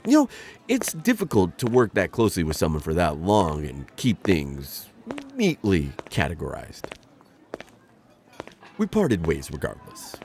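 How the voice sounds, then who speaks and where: A man's voice: sits low, wildly swooping pitch, unhurried, darkish tone, slight background noise, exaggerated voice. A man playing an awkward male insectoid bounty hunter in an ASMR roleplay, talking in a formal, flustered, rambling way. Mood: wistful. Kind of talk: ASMR roleplay audio drama.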